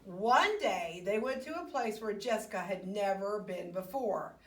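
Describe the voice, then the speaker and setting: Casting: female children's storyteller, expressive and unhurried, picture-book read-aloud, echoey room, off the mic. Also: medium pitch. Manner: expressive, with hushed asides to the young listeners. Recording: roomy, echoey, distant microphone.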